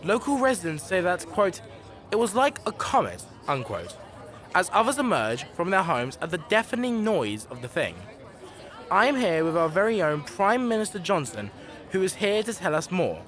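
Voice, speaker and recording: An eager young reporter, played by a man, with a high, animated voice reports formally from the scene. A faint outdoor crowd sits behind him in this radio drama. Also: normal speed. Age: young adult. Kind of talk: scripted news broadcast drama.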